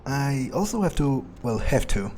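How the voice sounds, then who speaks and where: A man roleplaying a cruel, arrogant vampire in a video game, musing in a deliberate, condescending way with pauses. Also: mid-range voice, big pitch swings, darkish tone, a little hiss or hum. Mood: musing, callous. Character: cruel, arrogant male vampire, coolly menacing, entitled.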